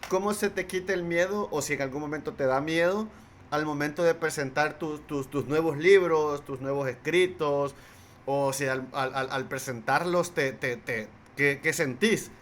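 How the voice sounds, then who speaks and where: Faint ambient noise sits behind a man with a Salvadoran accent. His voice is a bit higher than average. He hosts a casual interview, hesitating and repeating words as he shapes his questions. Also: normal speed, fairly bright tone. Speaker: host of a livestream interview.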